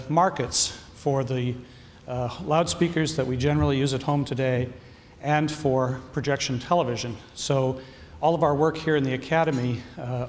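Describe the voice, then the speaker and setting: A man giving an informal acceptance speech as an award recipient. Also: medium pitch. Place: large hall at awards ceremony. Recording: echoey hall, faint background noise.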